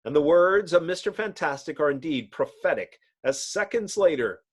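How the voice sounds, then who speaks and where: A man reads comic-book narration with theatrical flair. His voice sits on the high side and swoops dramatically, giving a bombastic storytelling delivery. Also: expressive, normal speed, slightly rough. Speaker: narrator, host.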